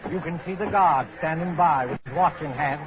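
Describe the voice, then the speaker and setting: A man narrating as host of a 1940s suspense radio show in a deliberate, ominous, scripted style. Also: fairly high pitch. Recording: muffled old radio recording.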